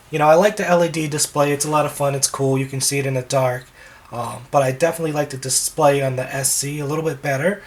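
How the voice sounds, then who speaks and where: A man with a slightly hoarse voice, talking casually as a wedding DJ reviewing equipment, relaxed and rambling with frequent ums and restarts. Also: mid-range voice.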